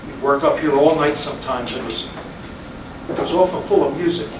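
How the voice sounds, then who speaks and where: Man acting a highish-voiced, brooding middle-aged husband, distant and echoey. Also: close to an everyday voice. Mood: wistful. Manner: halting, repeating words, brooding. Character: weary middle-aged husband, fifty-five, regretful and stubborn. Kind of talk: staged reading of a stage play. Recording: echoey room from far off, noisy.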